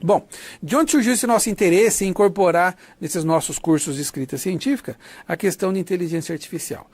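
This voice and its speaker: The fairly high-pitched Brazilian man you would hear leading a university short course, explaining things conversationally and checking that students follow. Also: a little fast, a little mellow, dry recording. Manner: didactic, conversational, often seeks confirmation with tags. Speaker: lecturer of an academic writing course. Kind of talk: online lecture, informal explanation.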